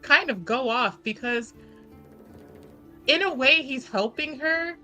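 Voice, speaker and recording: Slow-paced woman with a bright voice. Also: high-pitched, expressive, faint music behind. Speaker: tabletop game player. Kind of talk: casual in-character narration at a tabletop game.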